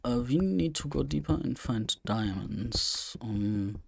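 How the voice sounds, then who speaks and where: Slow, gravelly young man. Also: medium pitch, expressive, darkish tone, a little hiss or hum. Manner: rambling, thinking aloud with many fillers. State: slightly strained voice. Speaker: let's play gamer. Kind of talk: casual gameplay commentary.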